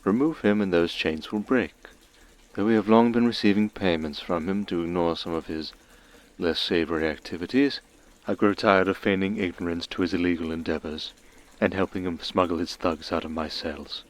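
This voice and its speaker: Very low-voiced vampire archduke played by a man, commanding, smug, teasing, formal ASMR roleplay delivery. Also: lightly acted, low-quality recording. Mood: calmly scheming. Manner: suave, teasing, measured formal speech.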